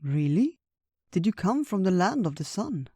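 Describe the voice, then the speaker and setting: Slow old man bear done by a narrator, wise n gentle, storybook style, dry close sound. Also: medium pitch, expressive, no room sound. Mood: curious. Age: older.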